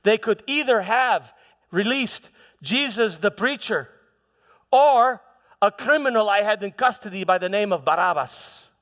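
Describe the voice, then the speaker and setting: Slow, high-pitched, proud Roman governor acted by a man. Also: lively intonation, fairly bright tone. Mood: bitter, incredulous. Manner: impassioned, halting, dramatic, with stammered repeats. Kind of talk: theatrical church monologue. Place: large church hall. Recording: reverberant hall with music.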